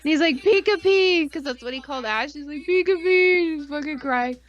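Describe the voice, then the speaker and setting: A woman's voice: sits high, fairly quick, dull audio. A woman co-hosting a podcast live stream in casual, informal chat with plenty of swearing.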